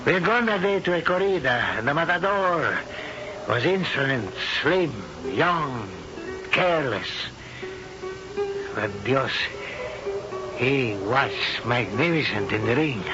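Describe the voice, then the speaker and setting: An actor playing a proud, anguished older Spanish husband in a halting, melodramatic 1970s radio drama style. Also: male voice, medium pitch, expressive. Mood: sorrowful, confessing. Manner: slow, halting, melodramatic delivery. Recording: dull old radio broadcast with music.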